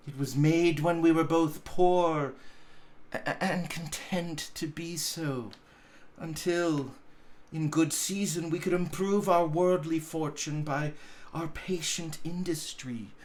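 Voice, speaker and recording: A gentle young woman from a storybook, read by a man, full of sorrow and quiet resolve. The delivery is very slow and grave, with halting, deliberate phrases. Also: on the high side. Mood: sad reproach. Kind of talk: dramatic storybook reading.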